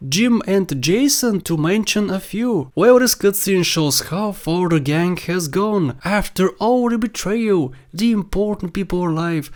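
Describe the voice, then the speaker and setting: A narrator giving opinionated scripted commentary for a countdown video, in an informal, wry way with rhetorical questions. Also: medium pitch.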